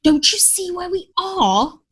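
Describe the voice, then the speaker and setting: A woman's voice: extremely high pitch, sing-song, slow, a bit bright, pitched above the performer's own voice, heavily acted. A woman voicing an overdramatic, frightened young pet cat who exclaims theatrically in a children's story. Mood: panicked.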